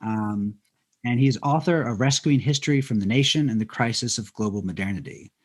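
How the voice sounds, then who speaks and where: A man moderating an academic conversation, introducing guests and asking questions in a formal, measured way. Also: mid-range voice. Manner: measured, formal, some fillers.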